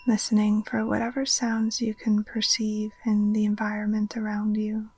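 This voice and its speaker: A woman gently guiding a relaxation meditation in a soft, breathy, near-whispered voice, soothing and unhurried. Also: medium pitch, monotone. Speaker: yoga nidra teacher. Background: occasional chimes.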